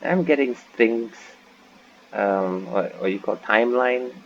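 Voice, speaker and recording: Man, game commentary, gravelly, thinking aloud, faint background hiss. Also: medium pitch, slow, muffled recording. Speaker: gaming channel host. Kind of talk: casual game playthrough commentary.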